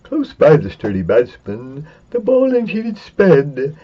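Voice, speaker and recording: A man giving a semi-dramatic reading of a narrative poem, theatrical and drawn-out, with big swings for suspense and excitement. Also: on the high side. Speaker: poetry reader. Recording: dull, lo-fi sound.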